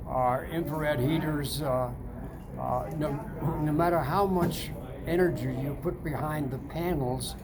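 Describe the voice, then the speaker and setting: A very old man speaks slowly from inside a sauna, with loud crowd noise behind him. His voice sits a little high for a man, and he halts often with fillers and restarts. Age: older. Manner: halting, many fillers and restarts. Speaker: sauna customer. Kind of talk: casual testimonial chat. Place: indoors, seated in a sauna.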